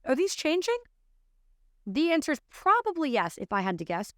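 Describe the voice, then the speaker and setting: High-voiced young American woman commentator. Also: very animated, normal speed, no echo, studio quality. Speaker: commentator and show host. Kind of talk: opinion commentary to camera. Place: small indoor studio. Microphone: close studio microphone on an arm.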